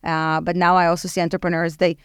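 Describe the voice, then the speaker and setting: Woman, nonprofit head, candid and chatty, smooth clear tone, dry close mic. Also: on the low side, fast talker, no room sound. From Israel. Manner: candid, frank and animated, with many fillers. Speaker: podcast guest, nonprofit executive director. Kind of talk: casual interview talk.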